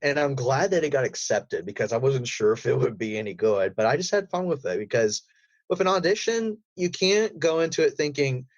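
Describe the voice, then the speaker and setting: A man's voice: medium pitch, animated, fairly quick, no room sound. Muffled, rambling man in interview. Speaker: guest, voice actor. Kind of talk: interview conversation about voice work.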